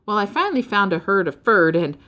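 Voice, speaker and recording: A woman voicing an excitable, stubborn male furry bird-fish creature in a storybook, given to exclaiming. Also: medium pitch, brisk. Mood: impatient. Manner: exclamatory, yelling when excited.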